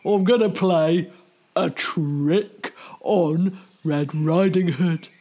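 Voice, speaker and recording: A woman's voice: lowish voice, extremely slow, warm tone, voice pushed down. Woman putting on a sly chuckling big bad wolf. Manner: chuckling, scheming.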